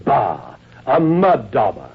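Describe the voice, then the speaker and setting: An actor playing a pompous, possessive landscape painter, declaiming grandly in vintage radio mystery style. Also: male voice, medium pitch, wildly swooping pitch, slow, husky, full character voice. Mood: scornful, grandiose. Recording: muffled old radio recording.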